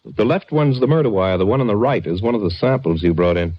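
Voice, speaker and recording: A man playing a confident, obliging police lab technician in an old radio drama, with a brisk, assured manner. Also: lowish voice, animated. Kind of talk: 1950s radio drama acting. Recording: dull old radio recording.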